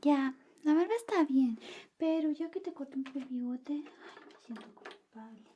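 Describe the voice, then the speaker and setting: A woman speaking softly and often whispering in an ASMR roleplay, playing an affectionate, playful best friend. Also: high voice, expressive, normal speed, dull audio. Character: affectionate, clumsy female best friend giving a shave. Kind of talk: ASMR personal attention roleplay.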